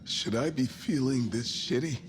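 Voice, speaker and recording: A man's voice: medium pitch, moderate pace, faint music behind, natural voice. A man playing a groggy, confused colony ship passenger just woken from sleep in a sci-fi game. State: woozy. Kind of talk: cinematic game acting.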